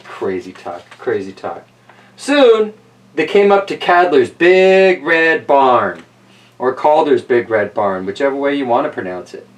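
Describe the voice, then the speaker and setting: Animated man reading a children's story aloud. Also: medium pitch, a little slow, far from the mic. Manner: playful, wry commentary on the story.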